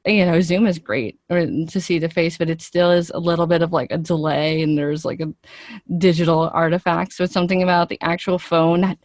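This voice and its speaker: Female interviewee, actress. Lower-leaning voice, very little room sound, earnest and chatty, works out answers as she talks. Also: no echo, clean studio sound.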